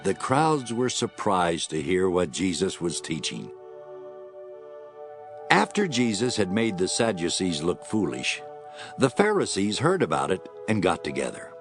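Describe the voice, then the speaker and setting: A man's voice: low-pitched, big pitch swings, unhurried, music in the background. A man reading scripted narration for an audio Bible drama as its storyteller.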